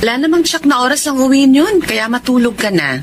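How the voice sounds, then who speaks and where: An actress playing a fussing, scolding aunt in a Filipino radio drama. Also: female voice, high-pitched, expressive, fairly quick, a little hiss or hum. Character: fussing, scolding aunt looking after a child.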